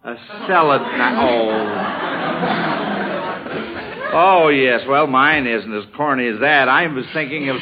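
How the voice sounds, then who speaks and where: A man's voice: mid-range voice, slow, pauses often, very noisy. A man hosting a 1940s-style radio quiz for children in a folksy, chuckling manner, often repeating answers back. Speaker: quiz show host. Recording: dull, band-limited old radio recording.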